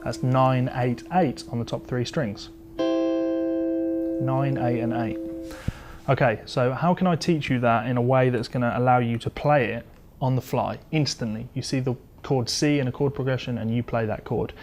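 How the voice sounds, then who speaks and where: A male guitar instructor talks through a lesson in an informal, methodical way. His voice sits at an average pitch for a man. Prominent guitar playing accompanies his explanations. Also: steady tone, moderate pace. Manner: explains step by step, talking between demonstrations. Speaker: guitar teacher. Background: electric or acoustic guitar being played.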